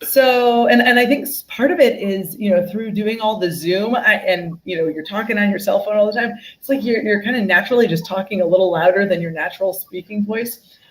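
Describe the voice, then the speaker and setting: A woman's voice: medium pitch, brisk, poor audio. A woman on a live online panel, giving upbeat career advice in a chatty way with many "you know"s, speaking over a video call.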